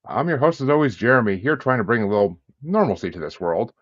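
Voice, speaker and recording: A man's voice: mid-range voice, very animated, fast talker. A man hosting a podcast with casual, jokey and self-deprecating interview chat. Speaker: podcast host. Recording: dull lo-fi sound, roomy echo.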